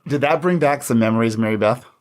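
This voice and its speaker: A man co-hosting a library podcast, chatting and then reading a holiday poem aloud in a measured, theatrical way. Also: medium pitch. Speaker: podcast co-host.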